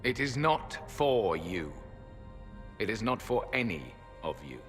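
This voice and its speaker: Game role: a grave ancient elf sentinel, low and bright-edged, ringing in a long hall over faint music. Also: male voice, very animated, slow pace, heavily acted. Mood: solemn. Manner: solemn, formal and cold. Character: ancient stern male elven temple sentinel.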